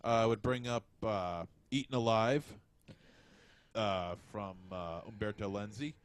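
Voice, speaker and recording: Male podcast co-host, lowish and dry, casual and hesitant film chat. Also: clean tone, dry recording. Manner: halting, hesitant delivery. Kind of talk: casual movie review chat.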